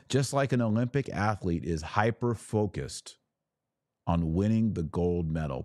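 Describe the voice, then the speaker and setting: A man hosting a podcast, giving a religious teaching monologue in an emphatic, deliberate way with dramatic pauses and rhetorical questions. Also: sits low, darkish tone, dry recording, studio quality. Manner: didactic, preacher-like rhetorical questions. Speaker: podcast host.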